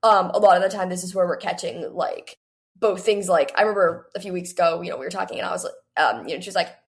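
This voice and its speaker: A young woman of about eighteen talks casually as an interview guest. Her voice has a noticeable creak, and her answers wander, full of "like" and little quotes of her own thoughts. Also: on the low side, quick pace. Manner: rambling, filler-heavy, peppered with "like" and quoted self-talk. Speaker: guest author.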